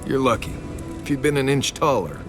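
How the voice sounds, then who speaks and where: A man's voice: medium pitch, sing-song, fairly quick, over music, lightly acted. A man playing a wry, blunt and watchful adventuring companion in a video game.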